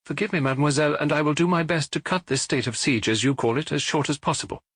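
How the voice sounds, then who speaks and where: A man's voice: a little high, fast talker, fairly bright tone, slightly rough, no room sound, clean studio sound, voice pushed up. A man playing a formal police inspector who questions witnesses politely but insistently, in an audiobook. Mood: polite, firm.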